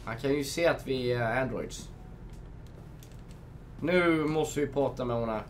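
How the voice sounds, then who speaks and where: A man's voice: mid-range voice, a little hiss or hum. A young man hosting a let's play, chatting casually, gushing and reading the game's menus aloud at his home setup. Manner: chatty, reads game menus aloud, gushes. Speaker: gamer, channel host. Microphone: desk microphone.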